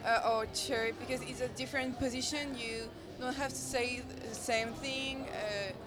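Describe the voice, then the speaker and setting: A young woman hosting a student radio interview, asking brisk, halting questions. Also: fairly high pitch, steady tone. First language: French. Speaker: radio host. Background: background music.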